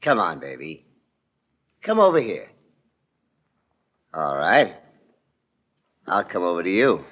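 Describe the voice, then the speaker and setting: A sharp, forceful male voice gives us a defiant killer from a 1940s radio crime play. He talks tough and slangy, with threat under every line. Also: sits low, big pitch swings, takes it slowly, pauses often, highs cut off, heavily acted. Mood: menacing, coaxing. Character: menacing, defiant young murderer cornered by detectives. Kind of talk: 1940s radio drama acting.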